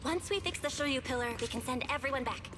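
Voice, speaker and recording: A woman's voice: high voice, quick pace, lightly acted. An actress voicing an upbeat, cheerful young woman in a video game dub. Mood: bubbly. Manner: bubbly, animated. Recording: game audio over loud music.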